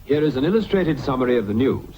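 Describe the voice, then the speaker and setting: Man, newsreel announcement, rapid delivery, music behind. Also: mid-range voice, animated, low-quality recording. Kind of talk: newsreel announcement, scripted narration. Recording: music under the voice.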